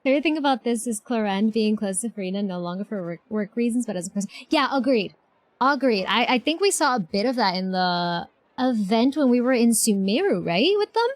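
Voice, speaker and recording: A woman's voice: fairly high pitch, expressive, fairly quick. A woman streaming a game, chatting casually and effusively, repeating herself as she reacts. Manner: effusive, repeats herself, talks back to characters. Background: game music.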